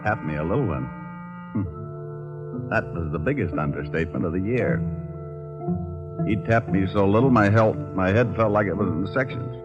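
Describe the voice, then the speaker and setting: An actor playing a cool, wisecracking American private detective with a dry, sardonic manner in an old radio drama. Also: male voice, deep voice, moderate pace, pauses often, loud music behind the voice, lightly acted. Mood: wry narration. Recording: muffled old radio recording.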